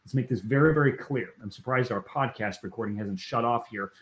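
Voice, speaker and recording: A man's voice: medium pitch, brisk, echoey room, noisy background. A man hosting a faith podcast interview, talking earnestly and emphatically and often checking in with "right?". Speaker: podcast host.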